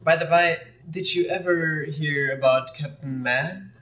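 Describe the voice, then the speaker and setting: Man playing a foppish gentleman, affected, rambling, fairly high voice, echoey room. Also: a little slow. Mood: evasive. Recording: echoey room, mic far off.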